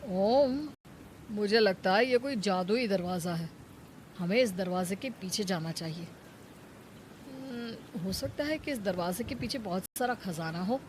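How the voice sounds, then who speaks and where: A woman's voice: medium pitch, lively intonation, a little slow, a little hiss or hum, natural voice. A woman voicing a cunning, lazy, greedy village man in a Hindi moral-story cartoon, coaxing and wheedling. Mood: greedy, urging.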